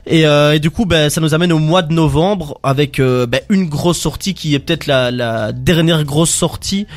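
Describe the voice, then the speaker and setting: A man presenting music release news in a casual panel talk, with a halting delivery full of filled pauses. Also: fairly high pitch.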